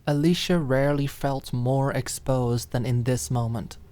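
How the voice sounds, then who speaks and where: A woman's voice: a bass voice, fairly even intonation, a little slow, mellow, no room sound. A woman reading scripted dramatic narration as an audiobook narrator.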